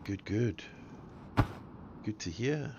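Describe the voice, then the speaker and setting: South African man, rambling crude streamer chat, slow easygoing delivery, muffled lo-fi sound with constant background noise. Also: fairly low pitch, lively intonation. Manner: rambling and crude, swears freely. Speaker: live streamer. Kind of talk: casual live-stream chat while gaming.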